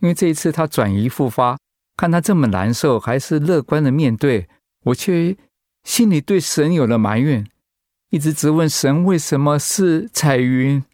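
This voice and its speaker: A man's voice: a little high, expressive, normal speed, a little mellow, no echo, clean studio sound, natural voice. A man acting in a radio drama as a humble, anguished pastor and devoted husband, speaking in a heartfelt, sighing way. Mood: humbled, anguished.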